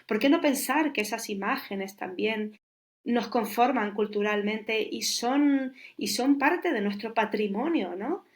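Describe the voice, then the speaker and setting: A woman's voice: on the high side, unhurried. A Chilean curator in her forties, talking in a reflective interview and thinking aloud with many restarts. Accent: Chilean Spanish. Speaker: curator and guest.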